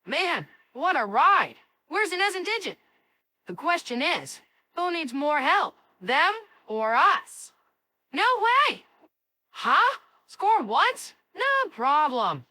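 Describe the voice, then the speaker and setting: A woman voicing a spirited, cocky and excitable cartoon kid with an exclamatory, dramatic delivery. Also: sits high, takes it slowly, no room sound, studio quality, heavily acted. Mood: eager, confident. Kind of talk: animated series voice acting.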